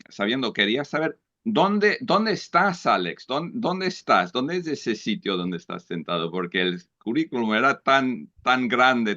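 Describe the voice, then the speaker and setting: A man whose first language is English asks his questions haltingly, searching for words. His voice is low but bright and sharp. The sound is dull and lo-fi. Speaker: audience member asking questions.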